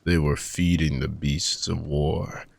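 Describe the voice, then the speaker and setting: Man voicing an ancient dark mage, very deep and gravelly. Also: level tone, full character voice. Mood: solemn, brooding. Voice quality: gravelly, husky. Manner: soft, deliberate, formal archaic phrasing. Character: ancient dark mage villain, weary, tender, brooding. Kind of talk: slow, intimate dramatic monologue for sleep-aid audio roleplay.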